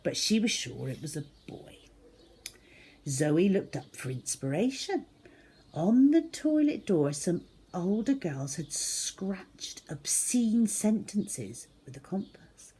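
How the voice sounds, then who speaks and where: A woman reading a children's book aloud as a storyteller, in an expressive, theatrical manner. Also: fairly low pitch, slow, husky. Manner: animated, theatrical, with acted-out sound effects.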